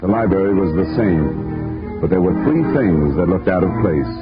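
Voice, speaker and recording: A dry, wisecracking investigator. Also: male voice, low-pitched, expressive, moderate pace. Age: young adult. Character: wisecracking young male insurance investigator. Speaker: insurance investigator and narrator. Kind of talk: 1940s radio acting. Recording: dull old radio broadcast with music.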